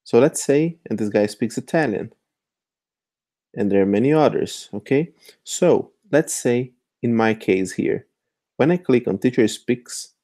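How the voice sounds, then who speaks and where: A man whose first language is Portuguese, talking through an online tutorial in a relaxed, patient way and often checking in with the listener. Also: mid-range voice, a little slow. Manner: conversational, keeps checking in with "okay?". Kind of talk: screen-share tutorial walkthrough.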